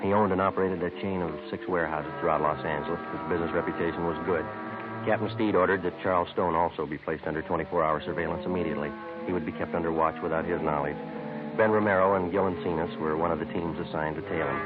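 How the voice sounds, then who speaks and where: An actor playing a terse, matter-of-fact police sergeant in a clipped, deadpan 1950s radio drama style. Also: male voice, sits low, quick pace, close to an everyday voice. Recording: muffled, tinny old 1950s radio recording with music.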